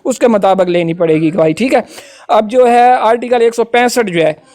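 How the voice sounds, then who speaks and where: A man's voice: high voice, moderate pace, a little mellow. A man with a Pakistani accent giving an informal explanatory law lecture, often adding little tags to check that listeners follow.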